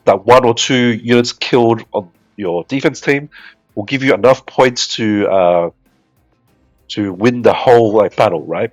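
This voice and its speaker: A man's voice: medium pitch, dry recording. A man in a dry, close recording walks through game updates in a casual, rambling way, full of fillers and restarts. His voice is a little nasal from a recent cold. Faint music plays under him. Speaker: gacha game video host.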